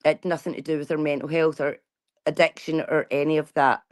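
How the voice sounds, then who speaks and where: A woman's voice: low voice, little pitch movement, brisk. A woman, talking bluntly and casually to her viewers and swearing freely. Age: 40s. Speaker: vlogger. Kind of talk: casual chat.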